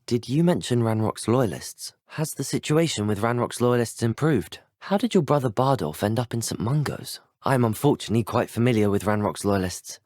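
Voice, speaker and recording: A man's voice: mid-range voice, animated, moderate pace, hardly any pauses, a little mellow, no echo, studio quality, lightly acted. A young man with a British accent voicing a courteous, earnest teenage wizard in a video game, well-spoken and formal. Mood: earnest, composed. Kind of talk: scripted video game dialogue.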